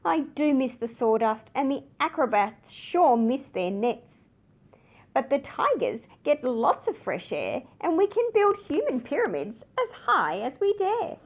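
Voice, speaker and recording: A woman's voice: sits high, sing-song, a little slow, exaggerated voice. A woman voicing a wistful but upbeat circus ringmaster, expressively, in a children's storybook read-aloud.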